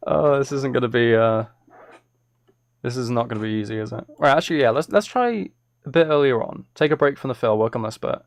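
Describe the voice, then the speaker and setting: A man's voice: mid-range voice, no room sound, studio quality. A man chatting casually as he works on music on a live stream, musing aloud in a self-deprecating way. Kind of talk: casual chat while working.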